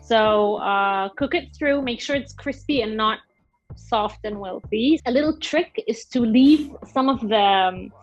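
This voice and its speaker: Young Egyptian woman, slightly high voice, relaxed and thoughtful, explains with fillers; muffled video-call audio. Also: a little slow, faint music behind. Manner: thoughtful, explains step by step with many fillers and restarts. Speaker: guest food creator. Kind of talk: casual explanatory chat.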